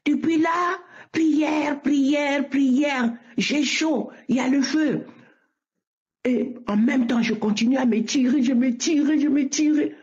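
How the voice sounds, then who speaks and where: A woman's voice: sits high, slow, darkish tone. A woman giving an earnest spoken religious testimony, often repeating her words. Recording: muffled lo-fi recording.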